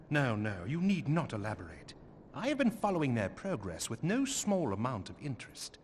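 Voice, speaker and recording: A man's voice: medium pitch, a little hiss or hum, close to an everyday voice. A man playing a gallant noble knight commander in a fantasy game, with courtly, expansive, eloquent archaic speech. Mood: gracious, approving.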